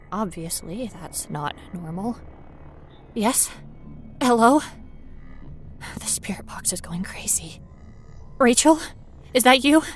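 A woman's voice: mid-range voice, lightly acted. A woman playing a nervous, wry paranormal investigator in an acted first-person horror audio drama, talking in a conversational, self-aware way. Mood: shaky, unsettled, then urgent calling. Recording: noisy ambient sound bed behind voice.

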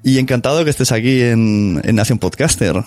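A man's voice: medium pitch, animated, fairly quick, darkish tone. A man from hosting a podcast interview in a casual, chatty way.

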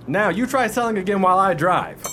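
Male actor as brash salesman, radio play, high voice, very lively, bossy hustler patter, background noise. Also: a little fast, full character voice. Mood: brisk lecturing. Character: brash fast-talking veteran ice cream salesman, bossy hustler.

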